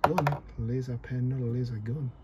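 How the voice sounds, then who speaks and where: A man's voice: medium pitch, steady tone, moderate pace, muffled recording, heavy background noise. A man giving a casual fly tying tutorial at his desk, chatty and self-deprecating, thinking aloud as he works. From North East England.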